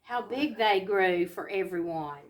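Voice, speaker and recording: A woman reads a storybook aloud to children, pausing to explain simply and ask them questions. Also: medium pitch. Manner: simple explanatory asides and questions to young listeners.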